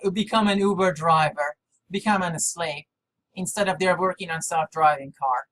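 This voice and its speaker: A non-native English speaker giving a rambling, unscripted monologue in a halting, fragmented and repetitive way, jumping from thought to thought. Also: mid-range voice, fairly bright tone. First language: not English. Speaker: video maker. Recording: clearly noisy background.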